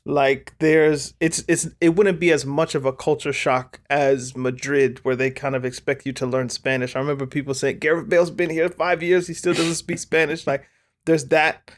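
A man's voice: fairly high pitch, level tone, normal speed. A man co-hosting a casual football podcast, thinking aloud in a rambling way with many fillers. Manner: thoughtful, rambling, lots of "like". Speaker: podcast co-host.

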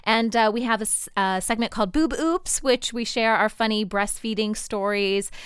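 Clear-voiced woman giving casual podcast announcements. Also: a little high, moderate pace, no room sound, clean studio sound. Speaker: podcast show regular. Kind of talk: casual explanatory announcements to listeners. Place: studio.

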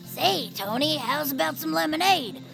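Eager kid fan, high swoopy voice, dramatic, audio cartoon style. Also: female voice, lively intonation, normal speed, creaky, over music. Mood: dreamy, excited. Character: excitable young child hero-worshipper, dreamy and impatient. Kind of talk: acted audio fiction for families.

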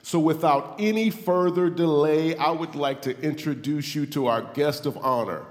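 An actor playing an excited event emcee introducing a guest of honor in an audio drama. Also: male voice, a little high, moderate pace, close to an everyday voice. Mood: excited, ceremonious. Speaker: announcer. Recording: reverberant hall.

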